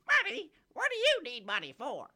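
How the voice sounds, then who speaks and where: A man voicing a stingy little old lady in a shrill, slow voice. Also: huge pitch swings, bright, forceful tone, full character voice.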